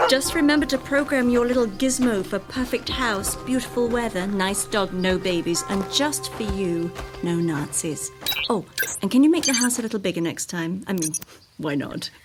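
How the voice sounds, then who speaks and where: A woman's voice: mid-range voice, normal speed, close to an everyday voice. A woman acting a discontented, self-absorbed wife with a biting, emphatic delivery in a radio drama. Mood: coaxing, sarcastic. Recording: prominent music behind the voice.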